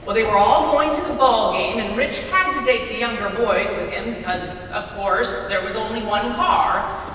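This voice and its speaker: A woman's voice: fairly high pitch, moderate pace, fairly bright tone. A woman performing in a readers theater, telling folksy, conversational stories in a stage storytelling style. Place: large hall, live stage reading. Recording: echoey hall from far off, faint noise.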